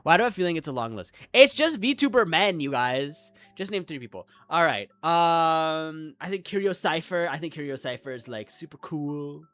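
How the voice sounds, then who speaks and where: A man's voice: on the high side, animated, moderate pace, hardly any pauses, a bit bright, dry recording, soft background music. A young man with a Midwestern American accent, rambling through a casual live-stream chat with lots of filler words. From Midwestern United States. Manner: rambling, chatty, frequent "like" fillers, self-deprecating.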